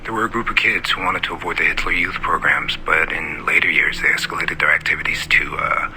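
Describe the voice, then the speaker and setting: A man's voice: very deep voice, fairly even intonation, brisk, pushed and bright, a little hiss or hum, heavily acted, rough recording. A man playing a resigned, reserved father in naturalistic audio drama, laconic and dry. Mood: puzzled.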